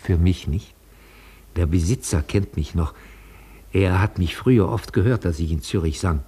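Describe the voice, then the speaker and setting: An actor playing a gentle, nostalgic old retired opera tenor in a German radio play, courteous and halting. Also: male voice, sits low, breathy edge. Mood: proud, nostalgic. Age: older.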